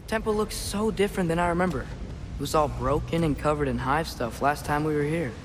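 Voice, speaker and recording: A man's voice: on the high side, fairly quick, background noise, lightly acted. An actor playing an earnest, inquisitive teenage boy adventurer in a video game, youthful, informal and full of questions. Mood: uneasy.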